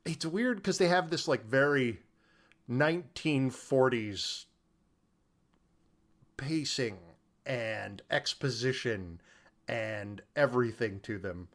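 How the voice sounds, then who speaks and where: A man's voice: medium pitch, animated, slow, long pauses. A middle-aged man co-hosting a podcast, chatting in a joking, slangy and emphatic way. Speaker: podcast co-host. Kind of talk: casual chat.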